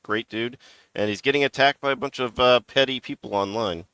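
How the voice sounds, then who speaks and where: A man's voice: medium pitch, brisk, pushed and bright, clear, resonant tone, no room sound. A man panelist chatting casually on a podcast, stammering and restarting his sentences often.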